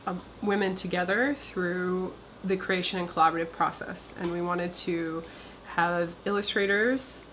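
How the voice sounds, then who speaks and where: A woman's voice: fairly low pitch, fairly even intonation, a little slow, fairly bright tone, rough recording. A woman giving an informal spoken introduction as the presenter of a team project. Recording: faint ambient room noise.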